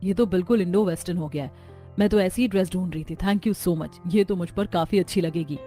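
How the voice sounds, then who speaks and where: A woman voicing chatty, friendly young Indian daughters-in-law from a neighbourhood in an expressive, conversational way for a cartoon story. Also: lowish voice, a little fast, faint music behind, close to an everyday voice. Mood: friendly, encouraging.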